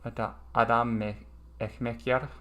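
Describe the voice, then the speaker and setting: A man's voice: medium pitch, flat delivery, normal speed, fairly bright tone. A man streaming a casual study session, thinking aloud hesitantly with lots of ums.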